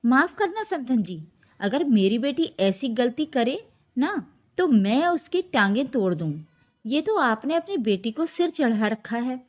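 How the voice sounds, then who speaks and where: A woman's voice: sits high, big pitch swings, a little slow, full character voice. A woman voicing a scornful, accusing middle-aged mother-in-law in a family story. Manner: sharp-tongued, accusing.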